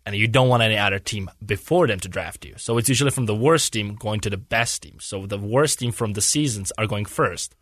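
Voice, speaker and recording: A man's voice: lowish voice, a little fast, hardly any pauses, a bit bright, dry recording, clean studio sound. A young man from Czechia speaking English as an in a casual interview, searching for words and rambling earnestly. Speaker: guest, hockey player.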